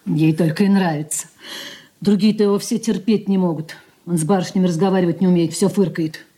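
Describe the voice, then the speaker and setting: An actress in a Soviet radio drama playing a sharp-tongued, calculating young married woman, dry and needling. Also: on the low side, fairly even intonation, a little fast, natural voice. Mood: gossipy, scornful.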